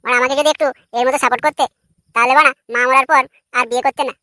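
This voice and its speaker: A woman's voice: high-pitched, fairly even intonation, racing, pushed and bright, no room sound, clean studio sound. A woman voicing a cheeky, foul-mouthed, argumentative village boy in a comic cartoon. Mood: angry squabbling. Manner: brash, argumentative, swearing.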